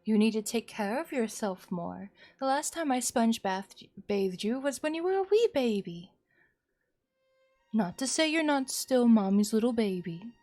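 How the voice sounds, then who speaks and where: A woman's voice: fairly high pitch, lively intonation, measured pace, darkish tone. A woman voicing a doting, needy, strained mother in a horror visual novel, cooing and complaining emphatically. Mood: doting, scolding. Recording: music behind the voice.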